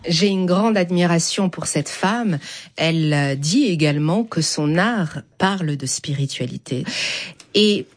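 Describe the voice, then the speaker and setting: A middle-aged woman with a Persian accent, a dancer speaking thoughtfully in an interview and reading poetry, often pausing with hesitations. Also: lowish voice, expressive. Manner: slow, thoughtful, many hesitations. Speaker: dancer and whirling dervish guest.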